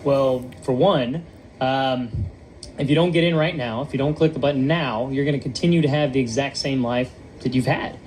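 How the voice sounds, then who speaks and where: A man's voice: mid-range voice, normal speed, a little mellow, a little hiss or hum, rough recording. Earnest man telling his story. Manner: earnest, with many fillers and restarts. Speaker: online business promoter. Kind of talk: personal testimony in conversation.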